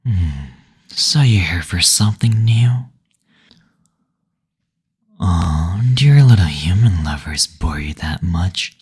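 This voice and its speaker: Suave demon receptionist, male actor: deep, heavily breathy voice, seductive and teasing, for intimate audio roleplay. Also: expressive, darkish tone, heavily acted. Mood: seductive, attentive. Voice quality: breathy, husky, near-whispered. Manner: slow, coy teasing with endearments and drawn-out hums.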